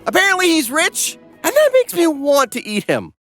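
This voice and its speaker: A male narrator delivers a comic scripted recap in a snarky, exuberant manner. His voice is high and bright and leaps around energetically, with faint music underneath. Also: wildly swooping pitch, moderate pace.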